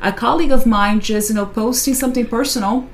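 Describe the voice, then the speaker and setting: A woman's voice: fairly high pitch, fast, a little hiss or hum. A woman who works as a translator, chatting casually and telling stories with plenty of "you know" and "uh". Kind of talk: casual conversation.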